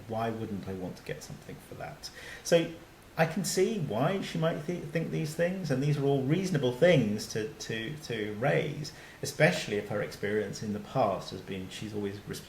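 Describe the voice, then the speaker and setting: A man's voice: medium pitch, gravelly, darkish tone, roomy sound, a little hiss or hum, low-quality recording. A man, a doctor, giving a recorded slide lecture in a conversational way, with many fillers and restarts.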